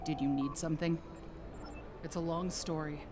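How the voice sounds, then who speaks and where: An actor voicing a weary, stranded refugee biochemist in a game, matter-of-fact in tone. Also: male voice, on the high side, level tone, moderate pace, background noise, natural voice. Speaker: game character. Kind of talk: voiced game dialogue.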